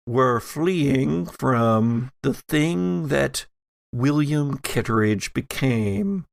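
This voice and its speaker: Unhurried man with a gravelly edge. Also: medium pitch, dry recording, studio quality. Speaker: game master and podcast host. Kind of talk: casual chat and narrated game recap.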